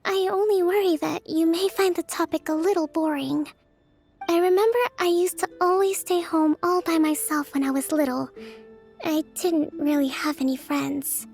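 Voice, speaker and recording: A woman voicing a gentle, introverted young priestess and strategist in a video game, soft-spoken, formal and thoughtful. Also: very high voice, steady tone, moderate pace, soft background music. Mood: shy, reflective. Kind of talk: video game character voice acting.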